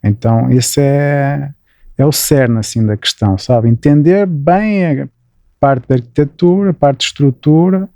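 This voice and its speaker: A man's voice: mid-range voice, unhurried, dark tone, no echo, clean studio sound. A middle-aged man with a Porto Portuguese accent, explaining things in an interview, often adding "né" and restarting his phrases. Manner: explanatory, frequent "né" tags and restarts. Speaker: guest engineer. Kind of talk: interview answers.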